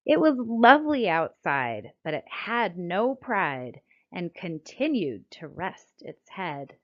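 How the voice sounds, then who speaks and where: A middle-aged American woman reads a scripted text aloud as a children's author, in a deliberate, expressive storytelling manner. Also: fairly low pitch. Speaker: children's book author reading her own book. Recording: dull, lo-fi sound.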